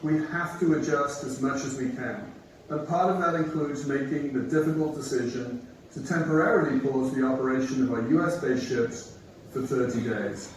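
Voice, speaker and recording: A man, a company executive, giving a measured, reassuring prepared video address. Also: medium pitch, slight background noise. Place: video played on a theater screen. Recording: echoey hall playback from far off.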